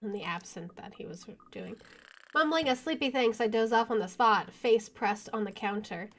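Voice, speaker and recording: A woman streaming a horror visual novel, reading it aloud with animated commentary. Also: fairly high pitch, normal speed. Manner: animated, laughs between lines. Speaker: let's-play streamer. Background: game music.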